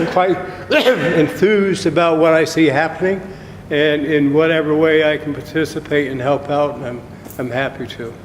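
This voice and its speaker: A man in his seventies introducing himself at a meeting in a relaxed, plain-spoken way. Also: on the high side, unhurried, a little hiss or hum. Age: 70s. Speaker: marketing consultant and photographer. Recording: echoey room with long reverb.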